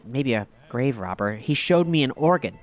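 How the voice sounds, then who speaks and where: A man's voice: mid-range voice, animated, normal speed, close to an everyday voice. Man acting an earnest investigator in horror drama. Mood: shaken, haunted. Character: earnest man investigating strange horrors, shaken but eager.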